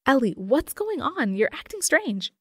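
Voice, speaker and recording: Actress as earnest, anxious schoolgirl, dry recording, lively high voice, audio drama. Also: unhurried, no room sound, heavily acted. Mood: alarmed.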